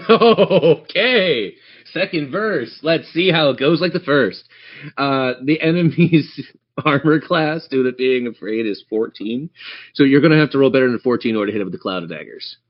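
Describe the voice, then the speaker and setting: A man's voice: mid-range voice, moderate pace, lo-fi sound. A man running a tabletop game as game master, narrating the action and chatting encouragingly with players.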